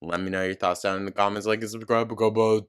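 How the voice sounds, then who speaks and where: A man's voice: lowish voice, fairly even intonation, fast talker, clean tone. A man hosting a commentary channel, reacting to clips with sarcastic, mocking remarks.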